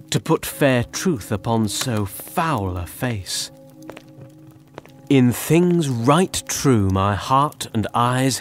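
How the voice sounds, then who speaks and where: A male voice actor giving a theatrical, clearly articulated reading of poetry and literature. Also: medium pitch.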